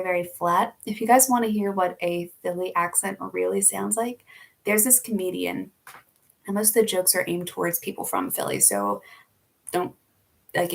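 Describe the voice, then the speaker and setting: A woman's voice: lowish voice, creaky. A young woman from Philadelphia with a Philly accent, chatting casually and laughing often as she answers viewer questions in a live stream. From Philadelphia, USA.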